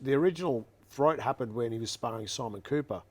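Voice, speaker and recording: Relaxed man chatting boxing. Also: medium pitch, fast. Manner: relaxed, unsure, hedging. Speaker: boxing show co-host. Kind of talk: casual sports chat.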